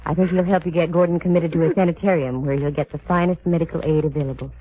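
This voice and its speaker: An actress playing a wry, poised, quick-witted lady private eye in 1940s radio detective style. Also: low voice, fairly even intonation, breathy edge. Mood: calm concern. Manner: wisecracking, poised. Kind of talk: 1940s radio detective drama acting, with narration. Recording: tinny, noisy old radio recording.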